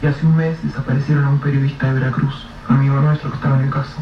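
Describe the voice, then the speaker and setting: A man's voice: mid-range voice, flat delivery, very fast, over music, close to an everyday voice. A man playing an anxious, evasive colleague who is trying to shield a grieving widow. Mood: guarded. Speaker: supporting character. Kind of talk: radio drama acting. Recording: distant, roomy, dull, noisy radio-play recording.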